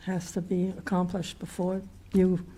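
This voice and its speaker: A woman chairing a town planning board meeting, with a slightly raspy voice, often hesitating and repeating words as she thinks. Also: fairly low pitch, completely flat delivery, measured pace, dark tone, slight background noise. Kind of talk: municipal meeting discussion.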